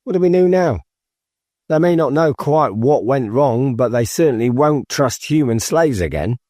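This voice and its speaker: Man as a restless young rebel, mellow tone. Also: mid-range voice, animated, normal speed, dry recording, clean studio sound, voice pushed up, lightly acted. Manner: restless, questioning. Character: restless young male rebel impatient about the next move. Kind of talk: audiobook character dialogue.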